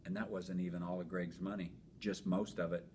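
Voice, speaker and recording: Low-pitched man reading a children's book aloud. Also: level tone, brisk, darkish tone. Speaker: fifth grade teacher reading aloud. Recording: noisy with low rumble.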